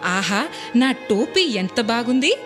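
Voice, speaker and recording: A woman's voice: fairly high pitch, animated, takes it slowly, over music. A woman voicing a small, vain, bossy mouse in a children's storybook tale. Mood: delighted. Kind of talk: storybook character reading.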